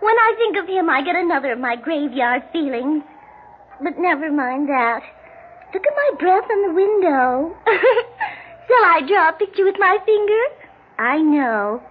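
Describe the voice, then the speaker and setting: Casting: girlish radio-play daughter, extremely high voice, affectionate yet fretful, melodramatic; thin, hissy 1960s sound. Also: lively intonation, unhurried. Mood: wistful. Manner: melodramatic, prim, old-fashioned turns of phrase. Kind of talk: 1960s radio drama acting. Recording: muffled, noisy 1960s radio recording.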